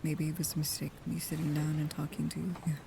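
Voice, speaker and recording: A woman playing a guarded, protective vampire with a painful past, speaking slowly, softly and hesitantly, often in whispers, in an intimate ASMR roleplay. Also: low voice, completely flat delivery, creaky, noisy background. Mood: wary, hurt.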